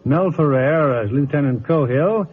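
A man's voice: on the high side, unhurried, breathy edge. A man with an American accent announcing in old-time radio style. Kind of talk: 1940s-50s radio announcing. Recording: tinny old radio broadcast. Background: music.

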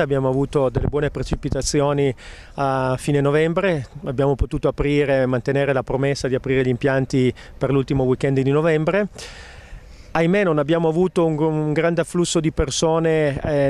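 A middle-aged man, a ski resort company president, answering questions outdoors on a snowy slope into a handheld mic, measured and hesitant with many filler pauses. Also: mid-range voice, a little mellow, slight background noise. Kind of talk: outdoor TV interview. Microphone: handheld mic held by interviewer.

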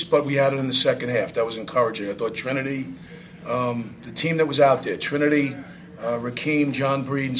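An older man, a head coach, answering postgame questions at a press conference in a halting, subdued way with many restarts. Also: medium pitch. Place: small press room with sponsor backdrop. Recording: noisy room ambience.

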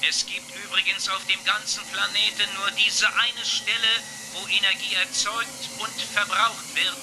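A man voicing a calm, helpful robot ship computer in a children's sci-fi radio drama, speaking in a measured, formal, factual way. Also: high-pitched, heavily acted, rough recording. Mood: matter-of-fact. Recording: music behind the voice.

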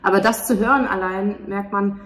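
A young woman speaking casually and spontaneously as an interview guest, halting as she searches for words. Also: mid-range voice, steady tone, fairly bright tone, far from the mic. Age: young adult. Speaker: stage performer and interview guest. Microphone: handheld interview microphone. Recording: echoey, slightly noisy, off-mic.

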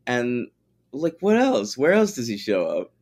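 A man's voice: medium pitch, huge pitch swings, measured pace, a little mellow. A man co-hosting a podcast, chatting in a laid-back, profane way and sharing trivia about the show. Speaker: podcast co-host. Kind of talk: casual episode recap chat.

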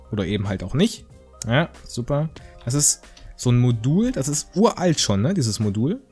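A man's voice: medium pitch, darkish tone. A man giving casual, joking game commentary in a rambling, slangy, mock-indignant way. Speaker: gaming video host.

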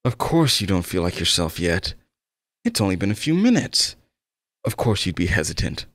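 A man's voice: deep voice, very animated, moderate pace, fairly bright tone, no room sound, studio quality. A man playing an obsessed, possessive young male game developer, tender, pleading and fervent, in an intimate audio roleplay monologue. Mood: coaxing, obsessive.

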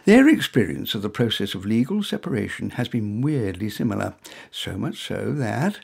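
A man's voice: fairly low pitch, very animated, normal speed, creaky, a little mellow, breathy edge. A middle-aged man reading his own short story in the first person, in a dry, wry, conversational way, full of asides and hesitations.

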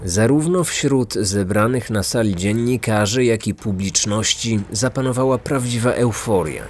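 Lowish-voiced male true-crime narrator. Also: measured pace. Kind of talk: scripted dramatic narration. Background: music.

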